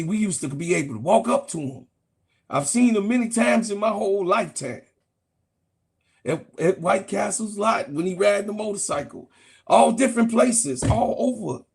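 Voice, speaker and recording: Middle-aged man, sports host, argumentative and profane, husky voice pitched high. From Chicago, USA. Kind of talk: heated casual sports rant.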